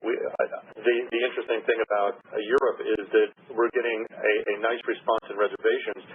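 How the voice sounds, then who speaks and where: A man's voice: lowish voice, phone-quality sound, a little hiss or hum. A man giving an executive's business update on an earnings call, heard over a phone conference line. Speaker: company executive.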